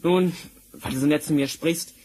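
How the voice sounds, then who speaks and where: An actor playing a poor, good-hearted young miller's son in a fairy-tale radio play, with a husky voice. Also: male voice, a little high, fairly even intonation, moderate pace, dark tone, a little hiss or hum, close to an everyday voice. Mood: kindly.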